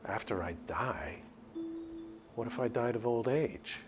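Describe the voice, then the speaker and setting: A man's voice: medium pitch, a little slow, over music, natural voice. A man playing a frightened, sardonic captive. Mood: shaken sarcasm. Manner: pleading, sardonic. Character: frightened, weary captive with bitter sarcasm.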